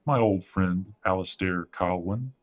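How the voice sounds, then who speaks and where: A man playing a menacing, controlling werewolf father in an audio drama, husky and breathy, smug and deliberate. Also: deep voice. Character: menacing, controlling werewolf patriarch, middle-aged or older father.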